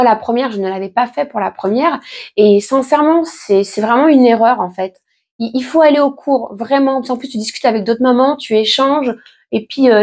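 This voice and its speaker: Chatty pregnant woman in her thirties, fairly high voice. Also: a little mellow. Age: 30s. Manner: chatty, reassuring, many fillers and restarts. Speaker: vlogger answering viewer questions. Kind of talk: casual chat.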